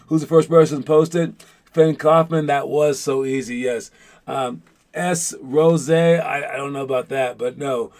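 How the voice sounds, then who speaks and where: A man's voice: a little high, darkish tone. A man hosting a basketball show as casual chat with viewers, talking in an informal, rambling way with playful teasing.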